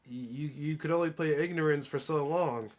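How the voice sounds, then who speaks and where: A man's voice: on the high side, normal speed. A man in a casual, opinionated podcast chat, speaking in an emphatic, slangy way.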